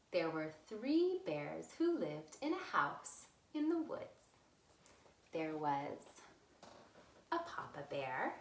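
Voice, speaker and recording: Female children's storyteller, very expressive melodic swings, unhurried, recorded in a roomy space. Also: lowish voice, slight background noise. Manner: simple, deliberate phrasing addressed to small children. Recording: roomy, echoey room sound.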